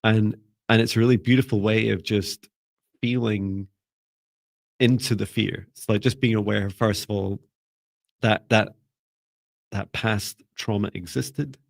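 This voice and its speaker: A man teaching a live online spiritual class, speaking in a calm, gentle, soft-spoken way and pausing often to restart his sentences. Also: lowish voice, vocal fry, no room sound, studio quality. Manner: hesitant, with repeated restarts. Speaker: spiritual mentor. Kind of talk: live online class, reading viewer comments.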